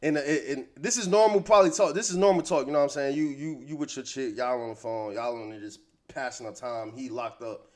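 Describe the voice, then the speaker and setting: A man's voice: mid-range voice, fairly quick, hardly any pauses. An American man giving casual, opinionated hip-hop gossip commentary in slangy, conversational speech.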